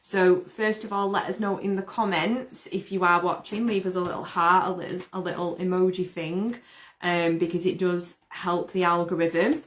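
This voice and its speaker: Chatty woman, slightly croaky. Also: lowish voice, steady tone, moderate pace. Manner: chatty, upbeat, greets viewers by name. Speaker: host. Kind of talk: casual live stream chat.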